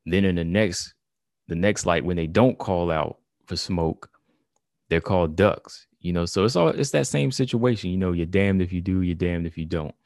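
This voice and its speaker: A man's voice: low-pitched, normal speed, darkish tone, dry recording. A man, chatting casually into the mic. His talk is loose and conversational, full of "you know" and "y'all". The sound is close and dry, with no room around it. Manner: conversational, heavy on "you know" and "y'all", reads quotes aloud. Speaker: combat sports commentator. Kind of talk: casual commentary monologue.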